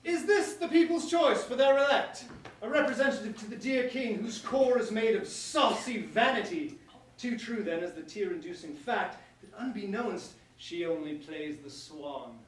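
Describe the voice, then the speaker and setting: A man's voice: sits high, expressive, normal speed. A man playing a pompous, easily wounded young prince in a staged verse comedy, drawing out his lines with indignation. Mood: indignant. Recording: echoey room, far mic, faint noise.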